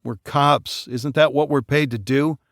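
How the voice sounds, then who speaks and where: An eager young policeman with a cocky, laid-back manner, voiced by the narrator himself. His voice is mid-pitched and sounds dry and close. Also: fairly even intonation, a little slow, fairly bright tone, dry recording.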